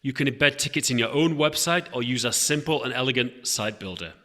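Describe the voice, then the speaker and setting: A man's voice: medium pitch, fairly quick, bright, forceful tone, in a large hall. A man hosting an events podcast, upbeat, often restarting and repeating words as he talks. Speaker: podcast host. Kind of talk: podcast intro and interview.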